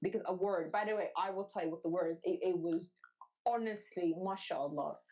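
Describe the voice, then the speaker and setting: A woman's voice: fairly low pitch, brisk, mellow. A woman who grew up between the Netherlands, Sudan and Wales, a guest in a casual podcast chat, talking animatedly and emphatically, swearing freely and cutting in mid-sentence over a video call.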